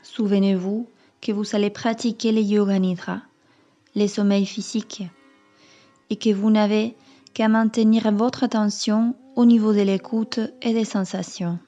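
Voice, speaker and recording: A woman yoga teacher calmly leading a guided meditation in measured, instructing phrases. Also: medium pitch, highs cut off, soft background music. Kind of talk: slow guided meditation narration.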